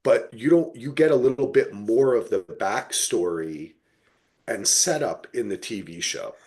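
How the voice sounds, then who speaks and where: A man's voice: lowish voice, expressive, measured pace, darkish tone. A man hosting a casual podcast, explaining things in an emphatic, unfiltered way. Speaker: podcast host. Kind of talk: casual chat.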